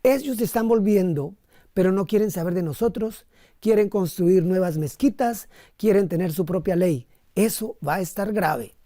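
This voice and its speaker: A Colombian man speaks as an interviewed missionary priest. His tone is dark and relaxed, recorded dry with little room sound. He tells his story conversationally, often stopping to rephrase as he goes. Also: high voice, normal speed, dry recording.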